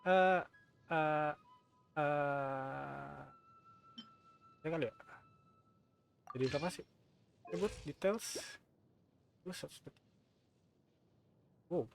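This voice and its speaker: A man's voice: fairly high pitch, takes it slowly, gravelly, pauses often, soft background music. A man with an Indonesian accent, giving casual live commentary as a game streamer in muttered asides that mix two languages.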